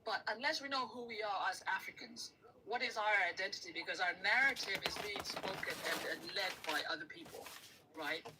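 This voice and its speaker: A man's voice: high-pitched, brisk, distant mic, background noise. A man from Uganda speaking as a guest on a live stream panel, earnest and thoughtful, often restarting his sentences.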